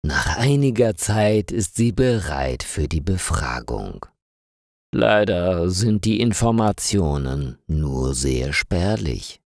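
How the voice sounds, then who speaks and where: A man reads a scripted crime tale aloud for learners. His voice sits very low but moves expressively up and down, and he takes his time over every word. The recording is dry and close, with no room around it. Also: big pitch swings, darkish tone, no echo. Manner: slow, clearly articulated reading with rising and falling emphasis. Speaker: narrator. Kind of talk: scripted story narration for language learners.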